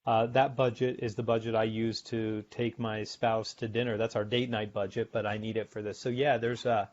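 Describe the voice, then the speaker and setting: A middle-aged American man, a sales author and podcast guest, explaining his ideas in a measured way and often repeating words as he thinks. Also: lowish voice, little pitch movement, a little mellow. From United States. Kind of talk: podcast interview. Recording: dull lo-fi remote audio.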